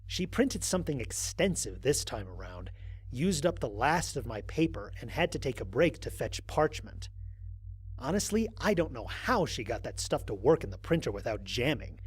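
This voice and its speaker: A man's voice: medium pitch, moderate pace, husky, low-quality recording. A man playing a lone astronaut who narrates his mission logs in a wry, exasperated, conversational way, as in an audio drama. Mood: wry, upbeat. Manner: conversational, expressive, sardonic. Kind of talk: dramatized first-person log narration.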